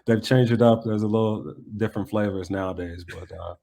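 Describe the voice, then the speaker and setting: Low, even-toned man in casual interview. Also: fairly quick, creaky. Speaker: soccer coach and former pro player being interviewed. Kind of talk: casual reflective interview answers.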